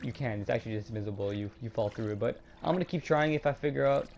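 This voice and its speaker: A young man narrating a gameplay walkthrough casually, hesitating a lot with ums and restarts, his throat a little raspy. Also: medium pitch, darkish tone, slight background noise. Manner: halting, many ums and restarts. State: slightly raspy throat, clearing it. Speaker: gaming YouTuber.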